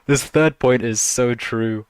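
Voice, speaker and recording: A man's voice: mid-range voice, takes it slowly, clean tone, dry recording. A man casually reacting to videos on a stream, laughing a lot and teasing in a slangy, joking way.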